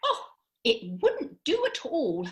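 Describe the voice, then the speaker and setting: A woman playing a brisk, bossy fairy in a comic radio play, speaking in an emphatic, precise, lecturing way. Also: high-pitched, wildly swooping pitch, fairly bright tone, exaggerated voice. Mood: airy, reassuring. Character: brisk, bossy female fairy, lofty and theatrical.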